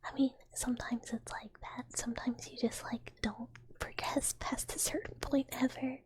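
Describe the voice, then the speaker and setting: A woman's voice: fairly high pitch, little pitch movement, normal speed, darkish tone, no echo. A woman streamer whispering casual, giggly, rambling chat to her viewers. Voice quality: whispering, very breathy. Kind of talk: whispered ASMR chat while reading viewer comments.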